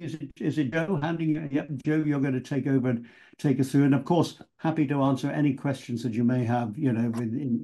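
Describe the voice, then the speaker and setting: A man's voice: mid-range voice, warm tone. An older man with a British accent, speaking on a video call webinar, rambling with many restarts and fillers. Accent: British English. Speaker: award chair. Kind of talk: webinar talk.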